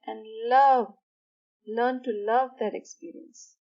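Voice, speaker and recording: A woman with a somewhat high voice leads a guided meditation for sleep. She speaks soothingly in short, measured phrases with pauses between them. The recording sounds a little dull and lo-fi. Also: fairly even intonation, gravelly.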